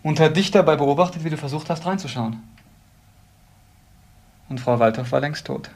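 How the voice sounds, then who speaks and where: A man's voice: fairly high pitch, moderate pace. A male actor playing an insistent, accusing man in a crime radio play. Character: insistent, accusing man in a wheelchair, brother of suspect. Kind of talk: radio crime drama acting.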